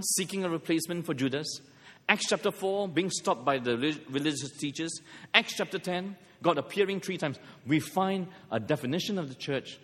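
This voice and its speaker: A middle-aged man from Malaysia preaching a sermon, mixing scripture with humorous personal stories. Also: a little high. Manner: storytelling with self-deprecating humor. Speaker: pastor. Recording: long hall reverb.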